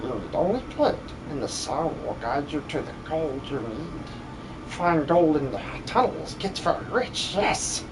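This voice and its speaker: Man putting on a creepy, greedy, breathy little creature. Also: on the high side, big pitch swings, measured pace, over music, rough recording. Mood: wheedling, greedy. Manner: hissing, muttering, repeats yes and precious. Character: fawning, creepy treasure-obsessed creature, greedy and twitchy. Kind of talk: amateur video game dub.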